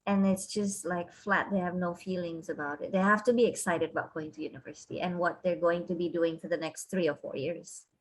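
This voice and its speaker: A woman who is a university counselor, giving measured, thoughtful and encouraging answers in an interview. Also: fairly low pitch, fairly even intonation, poor audio.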